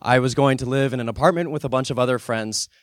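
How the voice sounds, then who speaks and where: A man's voice: mid-range voice, quick pace, no echo, studio quality. Nervous, self-joking young man. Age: young adult. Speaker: student giving a testimony. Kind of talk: personal testimony on stage.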